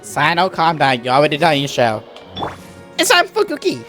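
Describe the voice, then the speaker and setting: A woman gamer with a low voice and extremely animated pitch. She chats casually over her gameplay, with faint music in the background. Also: normal speed. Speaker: game player streaming and reacting.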